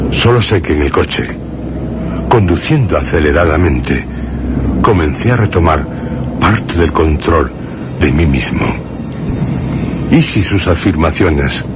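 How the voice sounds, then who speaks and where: An actor from a Spanish radio drama playing a haunted, horrified man who recounts his ordeal in grave, halting phrases with a Castilian accent. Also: extremely low pitch, heavy background noise, exaggerated voice. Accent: Spain. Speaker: first-person narrator in a radio drama. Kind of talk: suspense and horror radio drama narration. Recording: music under the voice. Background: prominent music.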